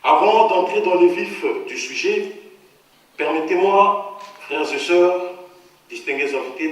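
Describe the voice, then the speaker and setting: A man giving a formal speech to an audience as a movement's representative, solemn and measured. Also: on the high side, a bit bright. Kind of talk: formal public address. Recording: echoey hall, far from the mic.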